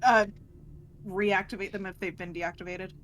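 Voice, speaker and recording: A woman chats casually as a player at a tabletop game. Her pitch sits in the middle, and there is faint noise behind her. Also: fairly even intonation, normal speed, a bit bright.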